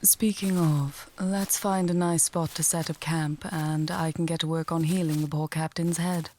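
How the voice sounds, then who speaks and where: A woman playing a wry, confident mage and healer in a fantasy audio tale, speaking in a measured, articulate, dryly teasing way. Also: low-pitched, fairly even intonation, mellow, slight background noise, lightly acted. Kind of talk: fantasy audio roleplay, addressing the listener.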